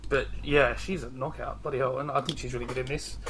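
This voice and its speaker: A man's voice: mid-range voice, steady tone, fast, a bit bright. A man hosting a casual, chatty film discussion. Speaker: podcast host.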